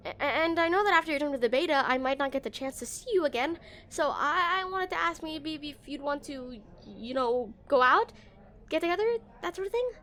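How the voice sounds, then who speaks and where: A high-pitched female voice , acted by a woman, plays an awkward game developer smitten with their date. The delivery is nervous and hesitant, full of stammers, in an intimate romantic audio roleplay addressed to the listener. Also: expressive, fairly quick, a bit bright. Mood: shy, earnest.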